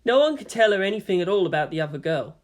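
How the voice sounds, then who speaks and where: Guy narrating fanfic like an audiobook, really animated up n down delivery, expressive. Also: sits high, quick pace.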